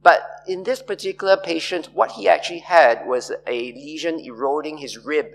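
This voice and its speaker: A middle-aged man speaks into a podium microphone in a large lecture hall. He gives a measured, explanatory conference talk with a gravelly edge and the occasional "uh" filler. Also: on the high side, a little hiss or hum. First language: not French.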